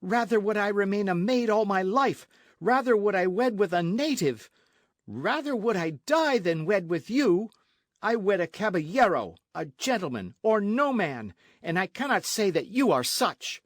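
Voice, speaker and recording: A man's voice: sits high, very animated, a little slow, darkish tone, no room sound, studio quality, voice pushed up, heavily acted. A man voicing a proud, outraged young Spanish colonial noblewoman in a storybook, speaking formally and emphatically. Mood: furious, defiant. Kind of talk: storybook narration with character voices.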